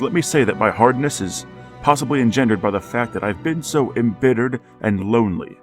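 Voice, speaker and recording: An actor playing a lonely, embittered widowed father who speaks in a measured, formal, brooding way. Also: fairly low pitch, close to an everyday voice. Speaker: narrator of the story. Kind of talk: 1940s radio drama narration.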